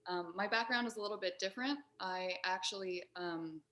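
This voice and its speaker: A woman's voice: medium pitch, fairly quick, fairly bright tone, slight background noise. A woman singer-songwriter introducing herself on a panel, friendly and straightforward. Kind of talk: self-introduction on a video panel.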